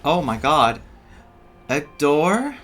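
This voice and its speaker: Male gaming streamer, casual, playful, unhurried pace, mid-pitched voice, faint music behind. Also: sing-song, fairly bright tone. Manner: playful, reacting aloud. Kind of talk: casual playthrough commentary.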